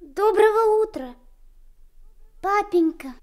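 A woman's voice: very high-pitched, lively intonation, takes it slowly, a little mellow, heavily acted. An actress playing a timid but willful little boy, an admiral's son, in a radio drama, childlike and hesitant. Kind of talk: Soviet radio drama acting.

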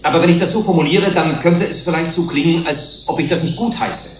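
An actor playing an earnest human rights commissioner pleading before a tribunal in a deliberate, rhetorical, formal way, in a German radio drama. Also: male voice, a little high, natural voice. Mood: earnest, measured. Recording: roomy hall echo, faint hiss.

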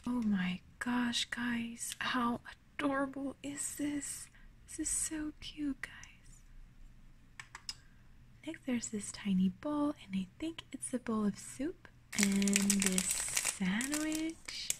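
A woman hosting an ASMR unboxing, whispering breathily and gently, sounding delighted, close to the mic at a table indoors. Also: fairly high pitch, expressive, takes it slowly, slight background noise.

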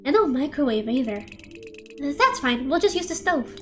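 An earnest schoolgirl from a visual novel, as a woman acts her out, with faint music behind. Her voice is high and swings up and down. She is soft-hearted, teasing and a bit bossy. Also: sing-song, moderate pace. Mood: playful. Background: game music.

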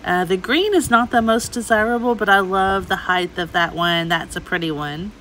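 A woman's voice: mid-range voice, normal speed, a bit bright, a little hiss or hum, rough recording. A middle-aged woman giving casual, chatty shopping vlog commentary, gushing over the items she looks at. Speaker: host, antiques reseller. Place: inside an antique mall.

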